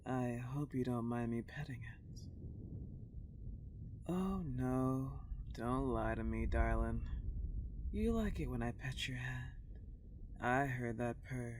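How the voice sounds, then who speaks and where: A woman playing a dark, possessive older vampire countess in an intimate ASMR roleplay, teasing and condescending as she lingers on her words. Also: extremely low pitch, animated, slow pace, pauses often, noisy background, full character voice. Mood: possessive, soothing.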